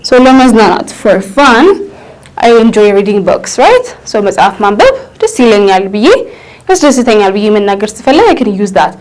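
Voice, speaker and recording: A woman in her twenties with an Ethiopian accent, teaching English and switching languages, slowing down to spell out phrases. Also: medium pitch, very animated, a little mellow, low-quality recording. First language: Amharic. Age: 20s. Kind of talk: bilingual English lesson.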